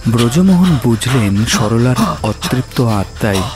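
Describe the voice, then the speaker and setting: A man narrating a horror story in a dramatic, suspenseful way, with pauses for effect. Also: medium pitch, lively intonation. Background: soft music.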